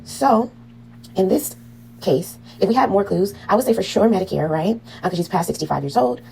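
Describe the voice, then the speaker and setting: A woman's voice: medium pitch, expressive, moderate pace, gravelly, dark tone, a little hiss or hum, rough recording. A woman teaching a casual online class on medical billing, full of "um"s and "okay?" checks to her students. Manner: chatty, frequent "um" and "okay?" check-ins.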